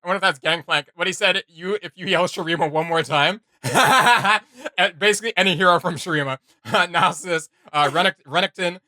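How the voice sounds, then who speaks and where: A man's voice: high voice, moderate pace, few pauses, pushed and bright, dry recording, clean studio sound. A man hosting a casual reaction video, thinking aloud with restarts and filler.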